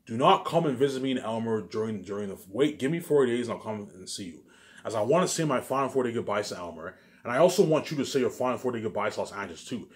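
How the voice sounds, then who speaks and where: A man giving a rambling, informal monologue, repeating himself emphatically, swearing and restarting his phrases. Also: fairly low pitch. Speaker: vlogger.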